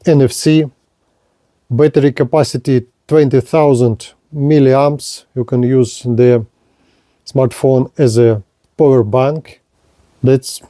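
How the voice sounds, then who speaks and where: Slow, mellow man reviewing gadgets. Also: medium pitch, dry recording, clean studio sound. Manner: halting, short plain phrases. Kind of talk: unboxing and product review narration.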